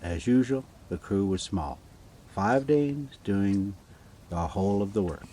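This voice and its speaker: A man reading a story aloud casually and haltingly, stumbling over foreign names. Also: on the low side, animated, mellow. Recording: noticeable background noise.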